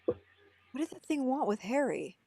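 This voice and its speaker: A woman's voice: sits high, big pitch swings, moderate pace, mellow, breathy edge, heavily acted. A woman reading the part of a doubtful young man searching for his father in a table reading. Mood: puzzled. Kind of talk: online script table reading.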